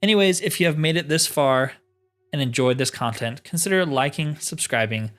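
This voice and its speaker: A man's voice: fairly high pitch, normal speed, fairly bright tone, soft background music. A man giving casual playthrough commentary as a gaming host, thinking aloud in short, informal remarks.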